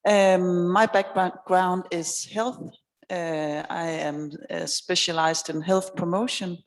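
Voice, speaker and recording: Danish female health specialist, slow hesitant intro. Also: lowish voice, fairly even intonation, in a large hall. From Denmark. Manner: searching for words. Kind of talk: roundtable self-introduction.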